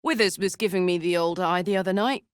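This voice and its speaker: A woman voicing a brash, warm-hearted tiefling warrior in a video game, with blunt, earthy soldierly talk. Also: fairly low pitch, fast, smooth, dry recording, clean studio sound, natural voice. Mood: determined. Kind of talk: video game character voice acting.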